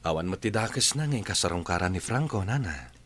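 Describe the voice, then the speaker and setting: An actor playing a caring, understanding husband in a serial drama, gentle and reassuring. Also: male voice, low-pitched, animated, fairly quick, slight background noise. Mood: consoling. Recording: music under the voice.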